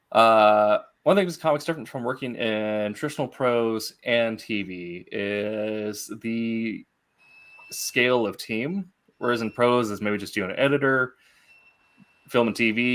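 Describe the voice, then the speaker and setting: A man hosting a casual interview, asking questions in a halting way as he searches for words. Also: fairly low pitch, a bit bright. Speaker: interview host.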